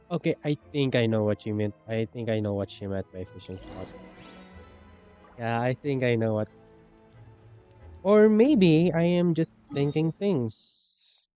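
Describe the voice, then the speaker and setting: A man's voice: medium pitch, animated, slow pace, pauses often, mellow, dull audio. A man giving casual live gameplay commentary, musing aloud and repeating his phrases. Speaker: game streamer. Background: game music.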